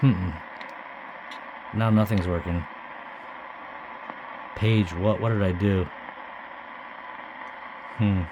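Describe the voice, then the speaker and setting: A man with a fairly low voice talks casually to himself as he fiddles with a gadget. He sounds puzzled and mutters his way along unscripted. Noticeable radio hiss and room noise sit behind him. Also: fairly even intonation, drawn-out words, pauses often. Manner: muttering, puzzled thinking aloud. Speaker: hobbyist demonstrating a radio. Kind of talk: casual unscripted hands-on commentary. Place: indoors at a wooden tabletop. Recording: noisy room with radio static.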